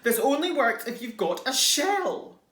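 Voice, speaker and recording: A man voicing fretful little snails from a storybook, exclaiming urgently in a shrill voice. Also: very animated, a little slow, sharp tone, heavily acted. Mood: scolding. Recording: roomy, echoing indoor sound.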